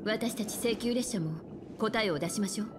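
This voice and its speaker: A woman's voice: mid-range voice, moderate pace, lightly acted. An actress playing a composed, decisive woman companion in a video game, cool and matter-of-fact. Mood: composed, assured. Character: composed, decisive adult female traveller companion. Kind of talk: Japanese video game voice acting. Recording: music under the voice.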